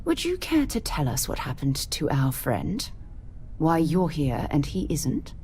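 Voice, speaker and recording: A woman's voice: deep voice, wildly swooping pitch, measured pace, warm tone, slight background noise, exaggerated voice. A woman playing a composed, authoritative leader of an explorers' society in a video game, poised and commanding. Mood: commanding, probing. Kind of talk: scripted video game acting.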